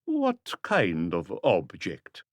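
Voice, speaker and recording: Man playing a guarded, suave ex-crook, slow delivery. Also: sits low, wildly swooping pitch, no echo, full character voice. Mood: guarded, indignant. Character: guarded, suave male ex-crook turned businessman. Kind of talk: audiobook character dialogue.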